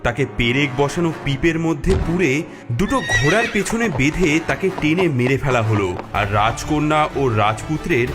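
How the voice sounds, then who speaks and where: A man's voice: on the high side, expressive, moderate pace. A man narrating a scripted fairy tale as storyteller. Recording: music behind the voice.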